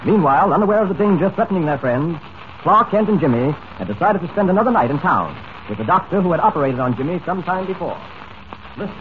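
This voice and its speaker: Dramatic high-pitched 1940s serial announcer. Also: male voice, expressive, fast. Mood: urgent, suspenseful. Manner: dramatic, emphatic. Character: excited, booming radio serial announcer narrating superhero adventure. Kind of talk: 1940s radio serial narration. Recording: noisy, narrow-band 1940s radio broadcast.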